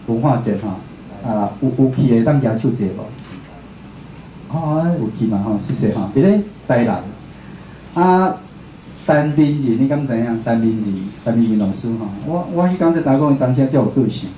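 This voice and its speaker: A man's voice: medium pitch, lively intonation, moderate pace, mellow. A Taiwanese man, a radio host, giving an informal, conversational public talk. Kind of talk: informal public lecture to an audience. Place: indoor talk venue with a live audience. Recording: echoey room, clear background noise.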